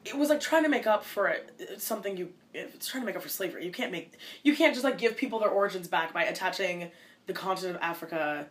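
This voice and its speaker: A woman's voice: lowish voice, expressive, a bit bright. A woman in her twenties from Minnesota, a college student answering interview questions casually, often restarting her sentences. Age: 20s. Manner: fast, with many restarts and filler likes.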